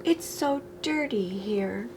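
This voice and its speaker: A woman's voice: mid-range voice, animated, slow pace, background noise. A woman voicing a lonely, timid female doll in a storybook, pleading plaintively to be loved. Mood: timid, dismayed.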